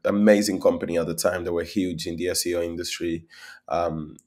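A man's voice: low-pitched, level tone, fairly quick, a little mellow. Young man from Spain talking on a video call. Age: young adult. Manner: talkative, repeats words while thinking. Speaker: guest, company founder. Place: small room at home, indoors. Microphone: laptop webcam mic on a video call.

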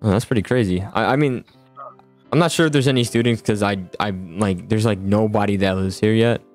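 A young man with a fairly low voice hosts a casual, improvised game roleplay. He rambles, repeats phrases and stumbles into his sentences. Soft music plays underneath. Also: a little fast. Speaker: host of a gaming roleplay. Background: background music.

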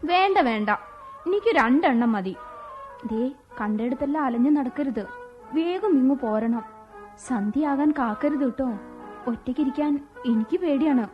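Brief: a shy, coaxing young wife, high voice, muffled old radio sound. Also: female voice, lively intonation, normal speed, pauses often, soft background music. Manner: coaxing, coy, pleading. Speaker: radio drama actress. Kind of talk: radio drama acting. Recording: muffled radio broadcast recording.

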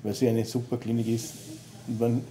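A middle-aged man from Lower Austria talking plainly as a long-time patient. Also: monotone, normal speed, dark tone, low-quality recording. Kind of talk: interview testimonial. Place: office, indoors.

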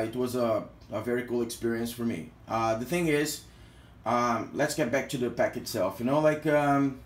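Hesitant Brazilian man in an echoey room. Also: medium pitch. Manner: hesitant, heavy use of fillers. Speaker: product reviewer. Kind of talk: casual gear review.

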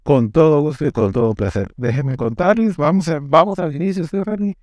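A man hosting a studio interview in an animated, emphatic way. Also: a little high, fairly quick, no room sound. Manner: animated, emphatic, lots of gestures. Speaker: host. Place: studio set with classroom backdrop. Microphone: lapel mic.

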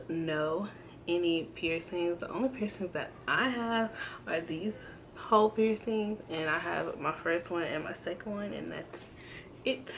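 A young woman in her twenties talks to her camera at a slow, unhurried pace, filling gaps with ums and restarts. Her voice sits a little low, and the recording carries a faint background hiss. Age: 20s. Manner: relaxed, hesitant, many ums and restarts. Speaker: vlogger. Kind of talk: casual tag video chat. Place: indoors at home, in front of a backdrop. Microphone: camera framed close, seated.

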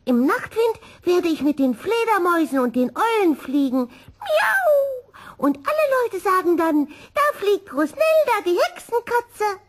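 A woman voicing an eager, boastful little girl witch kitten in a children's storybook, excited and showy. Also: very high-pitched, measured pace, voice pushed up, exaggerated voice.

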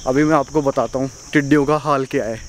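A young man vlogging outdoors in casual chat, excitable and often laughing, filmed on a handheld action camera. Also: medium pitch, fast, slight background noise. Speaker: moto vlogger. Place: outdoors on a windy hillside by a dam. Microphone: action camera held at arm's length.

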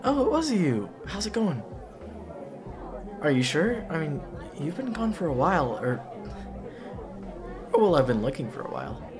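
A young man playing a caring best friend at a party in an ASMR roleplay, talking casually in a halting, rambling way. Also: on the high side, big pitch swings, crowd noise. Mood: searching, relieved. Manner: halting, rambling, breathy chuckles. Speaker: roleplay audio performer.

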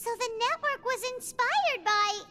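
A woman voicing a tiny, eager, childlike fairy girl companion in a video game, squeaky and chirpy. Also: animated, a little slow, background noise. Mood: eager, curious. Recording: music behind.

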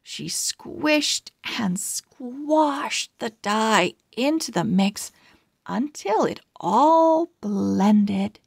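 A woman reading a children's storybook aloud in an expressive, deliberate way. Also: fairly high pitch, vocal fry, breathy edge, dry recording, studio quality. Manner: expressive, deliberate word-by-word delivery. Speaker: storybook reader. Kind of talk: children's read-aloud narration.

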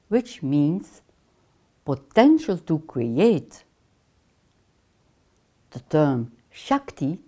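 Slow, mellow older Dutch woman lecturing. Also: lowish voice. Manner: measured, deliberate, gestures with her hands. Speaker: counseling lecturer. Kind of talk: scripted online course lecture. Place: small lamp-lit room, seated in armchair.

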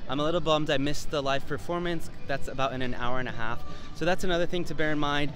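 A man's voice: fairly high pitch, fast. A man in his thirties from the United States, talking as a travel vlogger in a chatty, enthusiastic way while touring an expo site at night. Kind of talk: travel vlog narration and on-camera talk. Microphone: phone or camera held at arm's length.

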